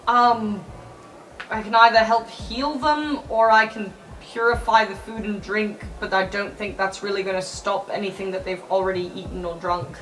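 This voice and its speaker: A woman weighs her character's options aloud in a thoughtful, hesitant way. She sounds set back from the mic, and a faint noise hangs under her. Her pitch is middling. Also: fairly even intonation, moderate pace, a bit bright, distant mic, rough recording. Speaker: player. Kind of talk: casual tabletop play.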